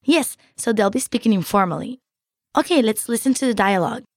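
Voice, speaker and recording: A woman from Peru, a native Spanish speaker, co-hosting a scripted language lesson in a friendly, measured way, recorded close on a studio mic. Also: a little high, lively intonation.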